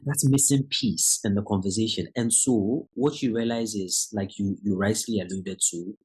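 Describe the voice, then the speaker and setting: Husky-voiced man, reflective and halting. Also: on the low side, darkish tone, poor audio. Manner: reflective, restarts phrases, rising emphasis on key words. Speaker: guest educator. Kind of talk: podcast conversation.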